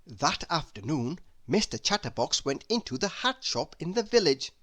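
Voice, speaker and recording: A woman reading a children's storybook aloud expressively, with deliberate, drawn-out emphasis. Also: low voice, big pitch swings. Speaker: storybook narrator.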